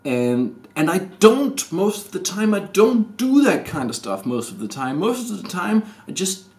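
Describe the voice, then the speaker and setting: A man of thirty with a Danish accent, talking to his camera in a hesitant, rambling personal confession full of restarts. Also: mid-range voice. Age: 30s. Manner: hesitant, halting, many restarts and fillers. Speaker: vlogger. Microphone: camera mic.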